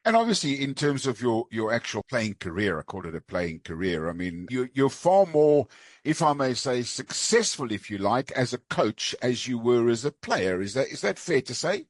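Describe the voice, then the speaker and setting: Lively rambling sports podcast host, man. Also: medium pitch, normal speed, no echo, clean studio sound. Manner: lively, rambling questions with many asides. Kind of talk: sports interview.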